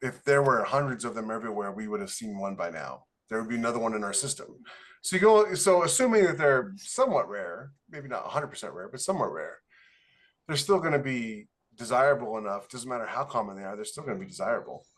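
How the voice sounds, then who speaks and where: Medium-pitched man in casual podcast chat. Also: animated, quick pace. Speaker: podcast co-host. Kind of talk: casual discussion.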